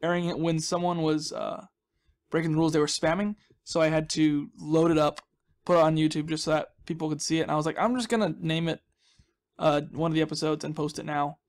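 Male gaming host, slightly high register. Chatty, jokey, self-deprecating, loose off-the-cuff play commentary. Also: fairly even intonation, fairly quick. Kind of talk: casual rambling gameplay commentary.

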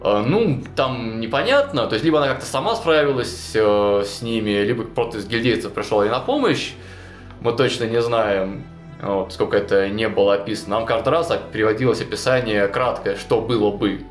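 A young man casually retelling a tabletop game campaign to a co-host, animated and full of fillers and restarts, in a small indoor studio. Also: fairly low pitch, a little fast. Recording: roomy echo, faint music behind.

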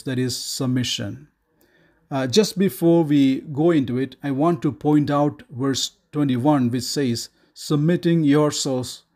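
Measured middle-aged man teaching scripture. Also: fairly high pitch, a little mellow. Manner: measured, reads scripture aloud, frequent "uh" fillers. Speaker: Bible teacher. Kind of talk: scripted Bible study teaching.

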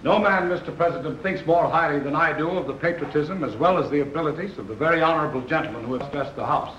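A man's voice: fairly high pitch, fast, fairly bright tone, soft background music, natural voice. An actor playing a solemn, impassioned colonial statesman in a formal, declamatory 1930s film style. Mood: grave. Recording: dull 1930s film soundtrack, roomy.

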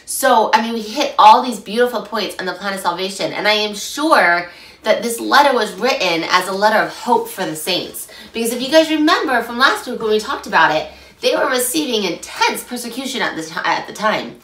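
A young woman with a slightly hoarse voice from a recent illness, teaching a chatty, animated scripture lesson to camera from a small room at home. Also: mid-range voice, fairly quick. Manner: chatty and animated, gesturing a lot. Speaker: scripture study host. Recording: echoey room, mic at a distance.

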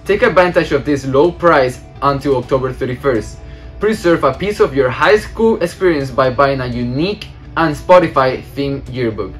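A man's voice: medium pitch, animated, normal speed, soft background music. A teenage boy, a student news anchor, reading school announcements with small slips. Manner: reads with small slips and restarts.

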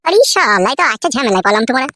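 Man acting a fast, exasperated cartoon husband. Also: extremely high pitch, very animated, pushed and bright, a little hiss or hum, full character voice. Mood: irritated. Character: exasperated, crude village husband caught between two wives. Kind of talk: comic cartoon dialogue.

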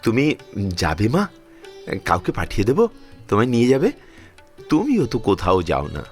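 A man's voice: on the low side, wildly swooping pitch, normal speed, soft background music. An actor playing an affectionate, fussing father-in-law of sixty-five in a Bengali audio drama, emphatic and repeating his words as he scolds protectively. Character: affectionate, fussing 65-year-old father-in-law, devout household elder.

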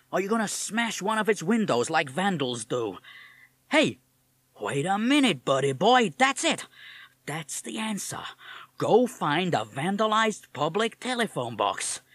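A man's voice: a little high, fairly bright tone, no room sound, pitched above the performer's own voice, full character voice. A man voicing a brash, streetwise male parrot who talks himself through a plan in tough slang. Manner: tough wisecracking slang talk.

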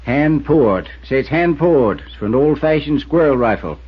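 Casting: middle-aged New village constable, husky voice, drawl, laconic and skeptical, muffled old radio drama sound. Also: male voice, on the high side, animated. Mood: matter-of-fact. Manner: slow country drawl, clipped folksy phrasing. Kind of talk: 1950s radio drama acting. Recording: muffled 1950s radio recording.

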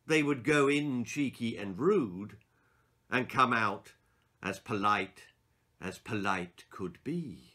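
A man in his sixties reading a children's story aloud in an expressive, theatrical and emphatic way. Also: lowish voice, slow. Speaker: author reading his own children's stories. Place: small book-lined room indoors.

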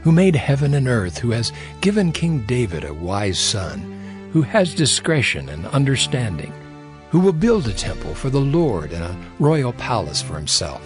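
Guy doing a gracious king reading out a formal letter, bible drama style, really animated, bit of music under. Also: medium pitch, normal speed, close to an everyday voice. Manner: proclaiming, formal, courtly. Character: gracious, dignified king of Tyre, warmly diplomatic.

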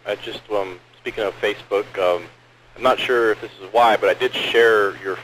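A man's voice: low voice, fairly bright tone. A man calling in casually to a radio net over a two-way radio. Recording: noisy ham radio transmission.

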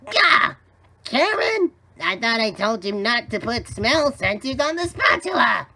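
A man's voice: extremely high pitch, big pitch swings, moderate pace, sharp tone, full character voice. A man voicing a tiny scheming cartoon villain who is theatrical, gloating and falsely friendly. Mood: frustrated. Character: tiny scheming male villain posing as friendly magic spatula. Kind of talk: cartoon fan voice acting.

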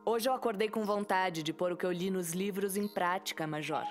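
Lieutenant role for a woman: insistent, sarcastic authority, mid-range voice, sci-fi audio drama, loud score behind. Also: medium pitch, brisk, natural voice. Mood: commanding, sarcastic. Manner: insistent, commanding, needling. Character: assertive, sarcastic female space lieutenant. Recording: slightly distant, under loud music.

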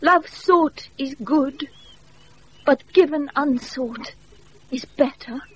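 An actress playing a proud young countess in love, in a declamatory, ardent classical Shakespearean radio style. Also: female voice, high voice, takes it slowly. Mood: ardent, pleading. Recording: muffled, noisy off-air radio recording.

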